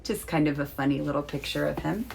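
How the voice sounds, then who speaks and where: A woman with a slightly low voice reads and explains to children like a teacher. Her delivery is deliberate and instructive, stretching words for emphasis, over faint background noise. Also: vocal fry, a little mellow. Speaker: teacher reading to pupils. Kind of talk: storybook read-aloud and explanation for children.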